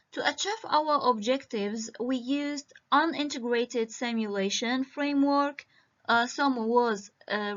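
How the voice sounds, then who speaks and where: A woman from Iraq giving an academic conference presentation over a video call, halting and full of hesitations. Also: sits high, a bit bright. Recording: muffled lo-fi video-call audio.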